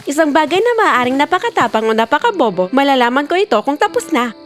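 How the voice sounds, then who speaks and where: A woman playing a bold, defiant young princess in an animated fairy tale, speaking in a spirited, assertive, dramatic way. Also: high-pitched, fast talker, soft background music. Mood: determined.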